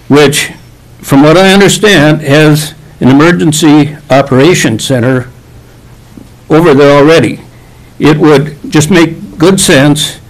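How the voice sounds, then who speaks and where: Measured, slightly high-voiced man giving statement. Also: rough recording. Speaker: public commenter at a county meeting. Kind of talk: prepared public statement.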